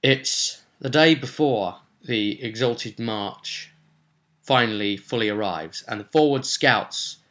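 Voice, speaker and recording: A man's voice: medium pitch, takes it slowly, a bit bright. A man talking casually as a game master recapping a role-play session, conversational, often putting questions to the listener. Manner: conversational, rhetorical questions and tag questions.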